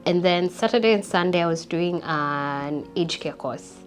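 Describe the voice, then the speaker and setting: Reflective woman, low-pitched. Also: soft background music. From Kenya. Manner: reflective, unhurried, repeats words for emphasis. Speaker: interview guest. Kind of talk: personal life story in an interview.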